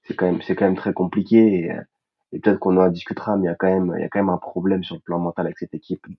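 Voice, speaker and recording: Man, podcast co-host, football chat, measured, emphatic repeats, deep, husky, lo-fi sound. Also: little pitch movement.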